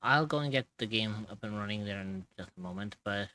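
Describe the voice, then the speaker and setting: A man hosting a casual live stream from a home streaming mic. His voice sits a little low for a man but comes across bright and forceful. He rambles loosely and laughs often. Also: fairly quick, dry recording. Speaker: streamer hosting a voice-acting session.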